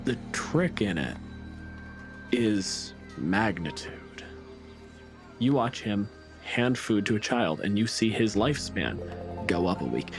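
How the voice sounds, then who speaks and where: A man telling a dramatic story in a tabletop game, with deliberate, drawn-out phrases and weighty pauses. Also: lowish voice, sing-song, over music.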